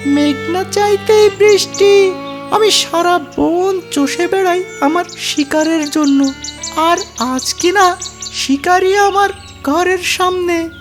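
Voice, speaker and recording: Very high-pitched greedy cartoon fox. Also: slow. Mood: gloating. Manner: gloating, blustering, scornful. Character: sly greedy bullying fox villain. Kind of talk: children's cartoon story character acting. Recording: loud music behind.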